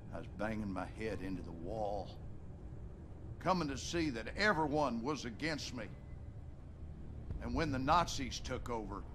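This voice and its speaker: A man's voice: mid-range voice, a bit bright, slight background noise. A man playing a harsh, bitter, domineering rural American father in a video game, with a folksy Southern drawl. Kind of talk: video game drama acting. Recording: game music behind the voice.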